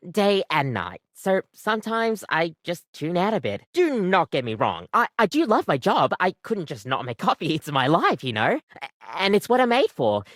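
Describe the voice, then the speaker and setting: Lively, overworked barista. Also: female voice, lowish voice, moderate pace, dry recording, studio quality. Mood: rambling, resigned. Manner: casual, rambling, self-deprecating, hesitant. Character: overworked, apologetic female coffee-maker barista, chatty and wearily devoted. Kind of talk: video game character acting, conversational.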